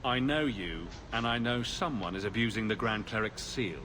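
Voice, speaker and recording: A wry, defiant fantasy game hero, played by a male actor. His fairly low voice is direct and challenging, ringing with long reverb over noticeable noise. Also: animated, normal speed, lightly acted. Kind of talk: fantasy video game voice acting.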